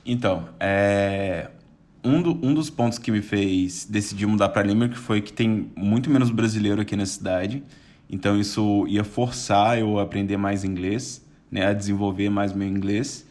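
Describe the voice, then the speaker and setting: A young man with a Brazilian Portuguese accent chats as a podcast guest. His voice sits a little low. He rambles easily, restarting his sentences and laughing now and then. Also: steady tone, normal speed, a little mellow. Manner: chatty, with frequent restarts and laughs. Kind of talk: casual podcast chat.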